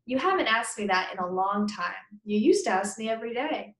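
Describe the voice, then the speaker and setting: A woman reading aloud as an easygoing, modest father who talks casually and teases his son gently. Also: mid-range voice, lively intonation, fairly quick, reverberant. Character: easygoing, modest, teasing dad of a schoolboy. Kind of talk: children's book read-aloud.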